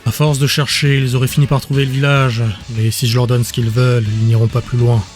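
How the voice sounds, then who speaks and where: A man's voice: medium pitch, little pitch movement, a little fast, faint music behind, close to an everyday voice. A man voicing a weary, guilt-ridden husband resolved to fight, in an audiobook drama. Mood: resigned. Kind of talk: audiobook character dialogue.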